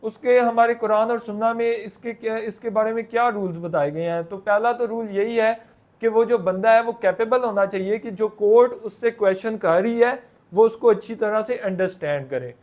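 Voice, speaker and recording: A man's voice: sits high, normal speed, a little mellow. A man with a Pakistani accent teaching law, explaining step by step in simple terms and often repeating himself. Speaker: law lecturer.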